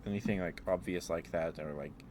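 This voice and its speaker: Casting: male ASMR streamer, low register, halting off-the-cuff rambler, noisy ambient backdrop. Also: a little mellow. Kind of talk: rambling casual ASMR chat. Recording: clearly audible background noise.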